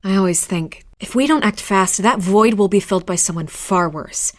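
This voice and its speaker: A woman's voice: mid-range voice, normal speed, lightly acted. A woman playing a probing, self-assured newspaper reporter in a video game, speaking in a cool, pointed way. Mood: cool, skeptical.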